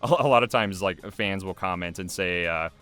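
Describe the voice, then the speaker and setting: A man's voice: deep voice, normal speed, sharp tone, smooth. A man giving casual, rambling, self-effacing behind-the-scenes commentary in a chatty way. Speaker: video creator giving commentary. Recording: music playing behind the voice. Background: music.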